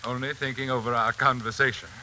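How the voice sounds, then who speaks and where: An actor playing a haughty, menacing hypnotist in a 1940s radio suspense drama, with velvety tones and pompous, formal diction. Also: male voice, mid-range voice, level tone, fast talker, sharp tone. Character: sinister, haughty hypnotist brother-in-law, cold and menacing. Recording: hissy 1940s radio broadcast recording.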